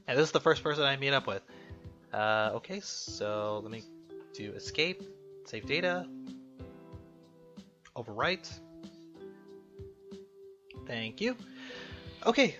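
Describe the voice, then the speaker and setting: A man's voice: medium pitch, pushed and bright. A man doing casual, jokey let's play commentary, reacting aloud to a game and reading its text. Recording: muffled lo-fi mic, music behind.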